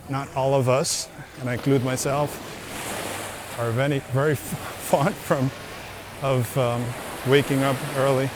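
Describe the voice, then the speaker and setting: A man narrating a casual travel vlog as he strolls along a beach at sunset, talking in an easygoing way and repeating words as he goes. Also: mid-range voice. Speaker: travel vlogger. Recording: outdoor beach recording with surf noise. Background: waves and surf.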